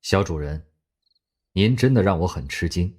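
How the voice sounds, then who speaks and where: A man voicing a blind young guard in an audio drama, speaking in a flat, clipped, emotionless way. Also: low voice, moderate pace, breathy edge. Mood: cold.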